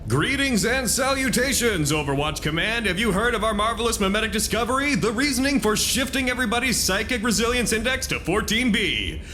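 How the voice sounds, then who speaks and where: A wry and theatrical colleague speaks precisely in a fairly high, bright voice. His intonation is very animated, needling but caring, in audio drama style. There is faint noise and rumble behind him. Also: fairly quick. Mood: showy, formal. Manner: dry, precise, wordy.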